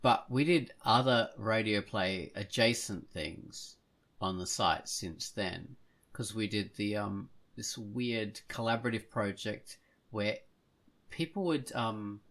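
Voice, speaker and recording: Low, gravelly man chatting on a podcast. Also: takes it slowly, a bit bright. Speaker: podcast host. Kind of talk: casual rambling chat.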